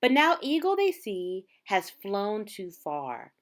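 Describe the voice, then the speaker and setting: A woman reads a children's storybook aloud in a medium-pitched voice. Her delivery is slow and measured, with dramatic phrasing. The melody moves widely up and down, and she stretches out certain words. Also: wildly swooping pitch. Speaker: storybook reader. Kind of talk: expressive read-aloud of a children's picture book.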